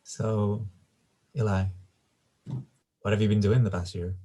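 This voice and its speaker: A man's voice: on the low side, steady tone, unhurried, mellow. A man playing a cool, aloof, trendy young man with a hesitant, polite manner in a screenplay table read. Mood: casual, curious.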